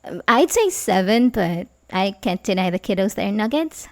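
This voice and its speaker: A woman's voice: mid-range voice, expressive, moderate pace, darkish tone, natural voice. A woman playing an obsessive, manipulative young babysitter who feigns sympathy, in an intimate, hesitant ASMR roleplay style. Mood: coaxing, eager. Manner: hesitant, stammering, nervous chuckles, sighs. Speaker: audio roleplay performer.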